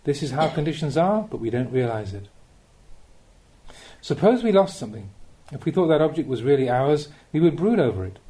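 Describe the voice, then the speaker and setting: A man, a Buddhist monk, reading a scripted spiritual teaching as an audiobook, with measured, deliberate phrasing and rhetorical questions. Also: fairly high pitch, big pitch swings, warm tone.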